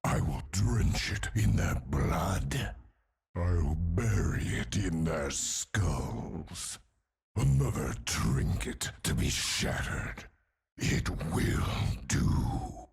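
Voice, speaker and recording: An actor voicing a huge, bloodthirsty undead warrior for a video game, gravelly and snarling through gritted teeth. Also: male voice, low-pitched, sing-song, drawn-out words, heavily acted. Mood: menacing. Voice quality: gravelly, guttural, raspy. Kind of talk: video game character voice lines.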